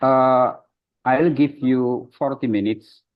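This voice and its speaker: A man moderating a webinar, opening it formally and halting often with fillers while greeting the speakers. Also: medium pitch, steady tone, narrow, tinny audio. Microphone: video call.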